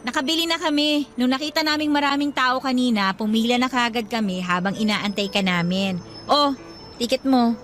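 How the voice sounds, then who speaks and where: A woman's voice: fairly high pitch, moderate pace, fairly bright tone, faint music behind, natural voice. An actress playing a pushy, eager young woman, chatty and playfully insistent, in a Filipino radio drama. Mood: helpful.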